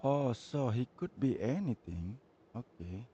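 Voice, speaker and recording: A man's voice: mid-range voice, animated, unhurried, mellow. A man live streaming a game, giving casual, hesitant reactions to what happens. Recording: dull lo-fi mic, faint background noise.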